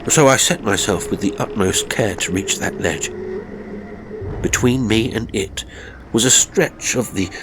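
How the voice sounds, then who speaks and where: A man playing a haunted, seasoned mountaineer telling a horror story in a measured, formal, gravely intense way. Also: low-pitched, noisy background. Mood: grim, tense. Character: seasoned male mountaineer, haunted, grimly recounting a fatal climb. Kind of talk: dramatic first-person horror storytelling.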